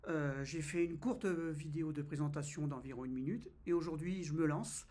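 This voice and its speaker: A man in his fifties from France talks to camera in a relaxed vlog. His voice sits a little high, and he often pauses, fills gaps and restarts his sentences. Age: 50s. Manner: hesitant, many fillers and restarts. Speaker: travel vlogger. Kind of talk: casual first-person vlog.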